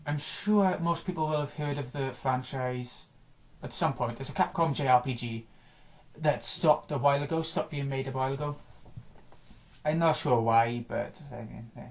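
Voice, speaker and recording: A man chatting casually about video games, rambling and offhand, his voice a little hoarse after a day of recording. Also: mid-range voice, moderate pace. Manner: rambling, offhand, frequent restarts and small chuckles. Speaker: gaming vlogger.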